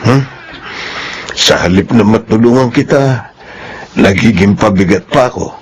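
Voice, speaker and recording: A man's voice: lowish voice, measured pace, slight background noise, lightly acted. An actor playing an ailing, concerned older father in a radio drama, speaking apologetically. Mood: apologetic, downcast.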